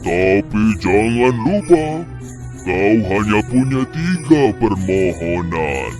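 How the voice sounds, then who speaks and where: Man as a slow, deep, solemn giant. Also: very animated, over music. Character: giant male ring spirit, solemn and grand. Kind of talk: children's storybook character voice.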